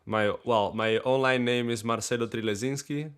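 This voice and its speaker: A man from Brazil, speaking English with a Brazilian accent as a podcast co-host, easygoing and quick to laugh, with hesitant restarts. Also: medium pitch, fairly even intonation, smooth. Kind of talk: casual chat.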